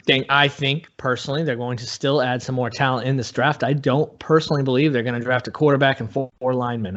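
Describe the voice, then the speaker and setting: A man giving casual sports analysis as a podcast guest, in a measured, halting way, on dull lo-fi audio. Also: medium pitch.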